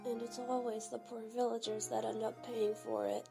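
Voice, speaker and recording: Actress as bubbly kid-sister princess, audio drama; high voice, exclamatory, music underneath. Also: female voice, little pitch movement, normal speed, vocal fry. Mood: saddened. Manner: girlish, exclamatory, emotive. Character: bubbly young princess, sweet-hearted, whiny little sister. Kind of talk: fan audio drama acting.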